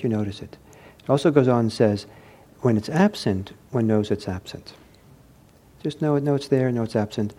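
A man giving an informal meditation talk, reflective and hesitant as he searches for words, with a soft, husky voice. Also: medium pitch. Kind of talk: informal spoken teaching with anecdotes.

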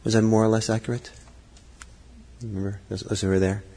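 A middle-aged man giving a Buddhist dharma talk, thoughtful and halting, with frequent fillers and restarts. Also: lowish voice, level tone, dark tone. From Norway. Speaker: Buddhist teacher. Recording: long hall reverb.

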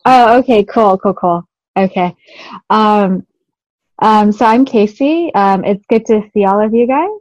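A woman from California introducing herself on a video call, with many ums and pauses. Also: mid-range voice, darkish tone, no echo. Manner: many fillers. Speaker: course participant. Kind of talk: hesitant self-introduction. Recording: dry, dull call audio.

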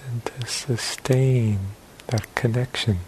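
A man leading a guided meditation as a teacher, speaking in short phrases with long pauses between them. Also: medium pitch, steady tone, a little mellow, low-quality recording. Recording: hissy live talk recording.

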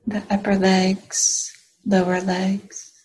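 A woman leading a guided meditation as its teacher, speaking gently in short phrases with long pauses between them. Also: mid-range voice, completely flat delivery, poor audio.

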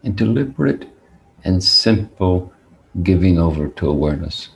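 A man teaching meditation, speaking in a deliberate, measured way with long pauses as he guides listeners. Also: low voice, animated, vocal fry, rough recording.

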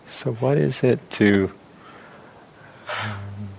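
A man leading a guided meditation as a teacher, speaking reflectively in short phrases with long, unhurried pauses. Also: lowish voice, creaky, darkish tone, slight background noise.

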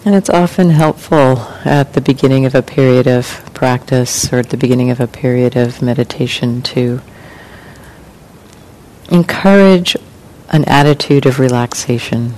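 A woman meditation teacher leading a guided meditation in calm, gentle, unhurried phrases with long pauses. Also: rough recording. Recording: clear rumbling background noise.

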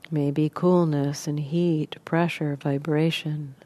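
A woman leading a guided meditation as a teacher, speaking in unhurried, sparse phrases with long pauses. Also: deep voice, little pitch movement, mellow.

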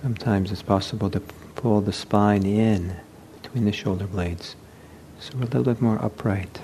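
Mellow low-voiced man guiding meditation. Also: fairly even intonation. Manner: unhurried, gentle, halting with pauses. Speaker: meditation teacher. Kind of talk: guided meditation instruction. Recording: low rumbling background noise.

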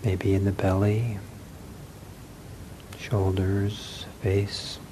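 A man teaching a guided meditation in gentle, soothing short phrases with long pauses. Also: low voice, flat delivery, noisy background.

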